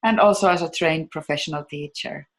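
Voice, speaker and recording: A woman's voice: low voice, fairly quick. A woman from Finland, hosting and introducing an educational video. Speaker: host.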